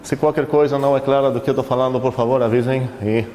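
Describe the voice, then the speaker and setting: Lecturer with a foreign accent. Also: male voice, mid-range voice, fairly even intonation, fast, mellow. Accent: foreign accent in Portuguese. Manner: conversational, asks the class questions, self-correcting. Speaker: university math lecturer. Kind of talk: classroom lecture. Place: lecture hall. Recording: reverberant lecture hall with faint noise.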